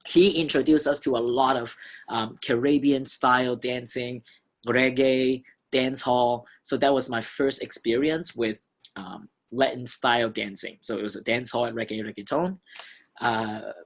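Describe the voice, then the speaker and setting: A man's voice: mid-range voice, steady tone, a little slow. A man in his thirties from Hong Kong, a fitness instructor talking casually about his background in his gym, friendly and conversational with some fillers. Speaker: fitness instructor and channel host. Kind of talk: casual self-introduction vlog.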